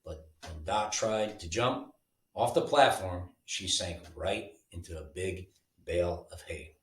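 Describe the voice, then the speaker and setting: A man reading a children's bedtime story aloud in an animated, informal way, like a storyteller with a picture book. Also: low-pitched, slow pace. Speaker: storybook reader. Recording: roomy, mic at a distance.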